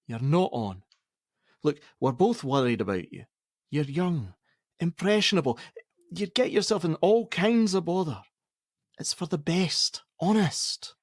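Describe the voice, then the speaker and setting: Male actor, middle-aged angry father, radio play, defensive, sarcastic, lively intonation, dry sound. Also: a little high, a little slow, no echo. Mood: exasperated lecturing. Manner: argumentative, sarcastic, swearing. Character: angry, defensive, domineering father of a pregnant teenage girl. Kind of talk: naturalistic radio play acting.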